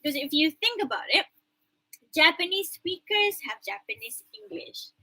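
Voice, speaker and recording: A woman's voice: very high voice, expressive, moderate pace, pushed and bright, low-quality recording. A woman with a Malaysian accent teaching an online English class, mixing English and Malay in a chatty, emphatic way over a computer mic. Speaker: university English lecturer. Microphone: computer mic on video call.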